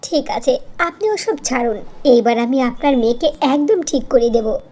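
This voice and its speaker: A kind young beautician heroine from a cartoon fairy tale, played by a woman. Her voice is very high and girlish, with an earnest, emphatic delivery. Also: expressive, moderate pace, dark tone. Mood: earnest, helpful. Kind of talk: dubbed cartoon dialogue.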